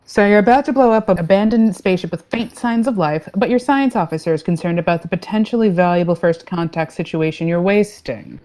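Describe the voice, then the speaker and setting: A woman playing a breezy, trigger-happy spaceship captain in a sci-fi comedy play, delivered with dry deadpan. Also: on the low side, a little fast, hardly any pauses, close to an everyday voice.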